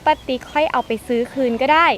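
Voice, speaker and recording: A woman's voice: fast, noisy background, close to an everyday voice. A woman playing a persuasive young woman in a skit, with music behind. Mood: coaxing. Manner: coaxing, persuasive. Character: pushy young woman, smooth talker.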